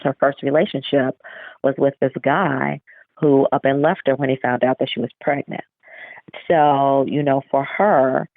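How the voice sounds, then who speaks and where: A woman's voice: a bass voice, vocal fry, dry recording. A woman author giving reflective, explanatory answers in a conversational interview over a phone line. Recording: tinny, narrow phone-like line.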